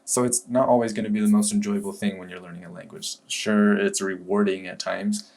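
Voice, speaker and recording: A man talking casually to camera as a language-learning vlogger, relaxed and rambling, with frequent fillers and restarts. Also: fairly low pitch, a little fast, creaky. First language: English. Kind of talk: casual review talk to camera.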